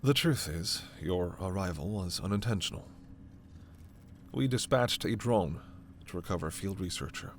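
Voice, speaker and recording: A man playing a formal alien scientist in a sci-fi ASMR roleplay, stern and authoritative yet reassuring, with measured, precise diction. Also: deep voice, animated, a little hiss or hum. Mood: measured explaining. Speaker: voice actor in audio roleplay.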